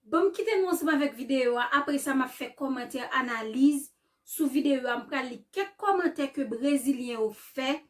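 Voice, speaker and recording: A woman's voice: sits high, expressive, moderate pace, recorded from a distance. Chatty woman hosting a commentary channel. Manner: chatty, rhetorical questions. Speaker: channel host. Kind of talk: casual commentary video.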